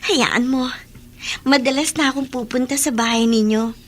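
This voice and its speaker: A woman's voice: sits high, fairly even intonation, normal speed, a bit bright. An actress in a Filipino radio drama playing an affectionate, repentant schoolgirl in an earnest, conversational way. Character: repentant schoolgirl younger sister, once snooty, now affectionate.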